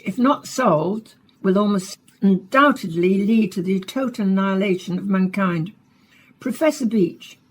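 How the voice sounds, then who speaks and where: A woman playing a dutiful radio broadcaster in a dystopian science fiction play, with a slightly husky voice and scripted delivery. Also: mid-range voice, a little mellow, a little hiss or hum, lightly acted. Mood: formal, deferential. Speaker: radio interviewer and narrator. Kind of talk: scripted radio drama monologue and interview.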